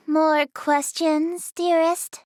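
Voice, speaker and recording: Very high-pitched haughty goddess girl. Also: steady tone, extremely slow, no room sound, studio quality. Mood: regal, composed. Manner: theatrical, imperious, formal and condescending, stammers when flustered. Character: haughty, easily flustered regal eldritch goddess, cute young-sounding female. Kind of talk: anime-style visual novel game voice acting.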